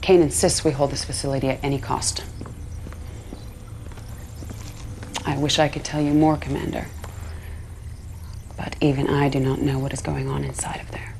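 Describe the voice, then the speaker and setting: A very low-pitched, intense woman commander from a war game cutscene. She is guarded and ambitious, speaking with controlled urgency over faint background noise. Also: little pitch movement, brisk, gravelly, darkish tone. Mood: stern, urgent. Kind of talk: dramatic video game cutscene acting.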